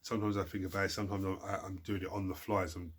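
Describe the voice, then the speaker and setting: A low-voiced man with a husky, breathy tone, talking casually to his viewers. He sounds blocked up from a cold and tends to ramble, doubling back and restarting his words. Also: level tone, very fast. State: congested from a cold. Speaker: hobby channel host answering viewer questions. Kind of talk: casual chat.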